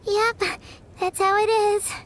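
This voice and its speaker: A woman voicing a tiny, chirpy, childlike fairy companion with a squeaky voice in a video game. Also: little pitch movement, takes it slowly, fairly bright tone, exaggerated voice. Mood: earnest. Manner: perky, refers to self in third person. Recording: music behind the voice.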